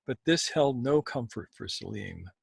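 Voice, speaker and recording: A man who co-hosts and tells stories, speaking in an expressive, measured way with dramatic pauses. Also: mid-range voice, gravelly, slightly rough, no room sound, studio quality. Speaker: storyteller and co-host. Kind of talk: expressive story reading.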